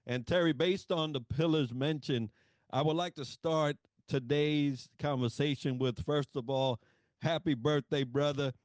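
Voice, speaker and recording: Host: middle-aged man, mid-pitched voice, dry close recording, formal and welcoming delivery. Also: steady tone, a little slow, dry recording. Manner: warm formal introductions, reads prepared questions. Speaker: podcast host. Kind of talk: podcast interview hosting.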